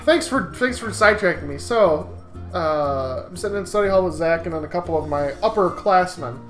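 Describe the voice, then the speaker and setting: A young American man telling anecdotes as a game co-host, in casual chat with a wry, halting delivery. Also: sits high, animated, a bit bright. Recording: roomy echo, faint music behind.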